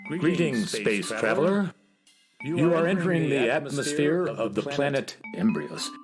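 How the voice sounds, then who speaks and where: A man playing a slow, solemn temple keeper. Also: medium pitch, expressive. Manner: slow and grave. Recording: music bed under voice.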